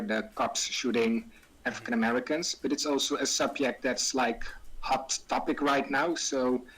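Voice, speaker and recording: Male, mid pitch, raspy edge, halting plain-spoken delivery of informal notes. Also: fairly bright tone, low-quality recording. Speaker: participant giving feedback. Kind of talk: informal feedback.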